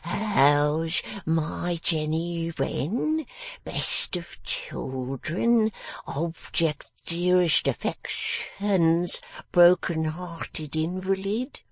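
A woman voicing a maudlin, timid drunken father in a storybook, speaking thickly and haltingly in a submissive whine. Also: lowish voice, no room sound, pitched above the performer's own voice, heavily acted. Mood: timid wheedling. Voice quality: thick slurred speech, husky.

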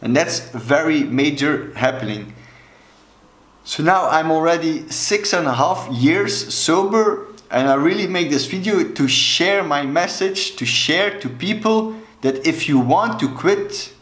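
Slow-talking Belgian man, Dutch accent. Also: fairly high pitch, animated, fairly bright tone. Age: 30s. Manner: slow, deliberate, gestures with both hands. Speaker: alcohol coach. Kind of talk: earnest talk to camera. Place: small room indoors, plain wall. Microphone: camera mic, head and shoulders. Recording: echoey room.

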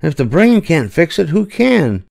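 A man voicing an eager, excitable young boy rabbit in a children's storybook. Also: on the high side, unhurried, vocal fry, voice pushed up, full character voice. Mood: curious, concerned.

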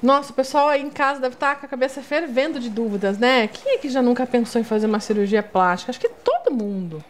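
A woman's voice: a little high, big pitch swings, fast talker, few pauses, slight background noise. A middle-aged woman with a Brazilian accent, chatting as the host of a TV interview in a studio. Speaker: TV show host.

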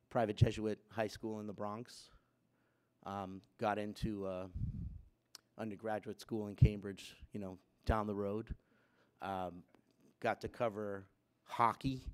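A man in his fifties from Puerto Rico speaks into a gooseneck mic at a chapel lectern. His voice sits fairly low, and he talks in a loose, conversational way with plenty of fillers. He builds to emphatic bursts. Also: darkish tone. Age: 50s. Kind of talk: personal talk to students. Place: wood-panelled school chapel, students seated behind.

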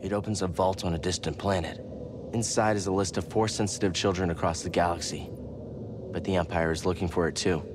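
Man playing a low-voiced, earnest young Jedi fugitive. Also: fairly even intonation, a little fast, noisy background, close to an everyday voice. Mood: urgent, explaining. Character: earnest young male Jedi fugitive, weary but hopeful. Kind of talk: video game cutscene acting. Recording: music behind the voice.

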